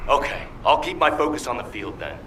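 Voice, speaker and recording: A man voicing a gruff, proud captain in a video game, curt and commanding, in an echoey, noisy, distant recording. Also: mid-range voice, very animated, brisk, sharp tone. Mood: stern, curt.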